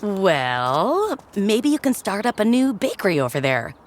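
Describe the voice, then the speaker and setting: An animated female voice acted by a woman at a medium pitch, swinging up and down. She is a playful, upbeat friend in a motion comic, casual and teasing. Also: measured pace. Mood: playful, encouraging.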